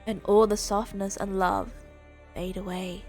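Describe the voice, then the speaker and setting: A woman streamer casually playing through a game live, reading its text aloud in a halting, measured way. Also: mid-range voice, little pitch movement, a little mellow. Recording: game music behind voice. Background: video game music.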